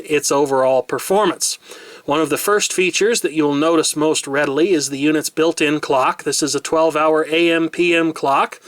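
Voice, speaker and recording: A man's voice: fairly high pitch, no room sound. A middle-aged man from the United States, reviewing a portable radio at his desk in a precise, wordy way with self-mocking asides. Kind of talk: product review narration. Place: desk in a home room.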